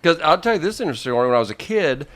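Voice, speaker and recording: A man from Houston, Texas, a comedian guest, telling stories in a relaxed way and laughing through them. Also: fairly high pitch, racing. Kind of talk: casual storytelling.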